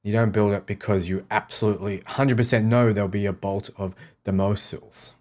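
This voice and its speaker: A man's voice: on the low side, steady tone, brisk, darkish tone. A man streaming a game, casually reading out questions and answering them offhand in short, repeated sentences. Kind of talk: casual livestream Q&A.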